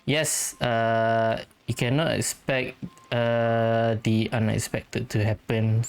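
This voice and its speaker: A man giving casual let's-play commentary, musing aloud in a halting way. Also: mid-range voice, flat delivery, creaky, clear, resonant tone. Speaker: gaming streamer.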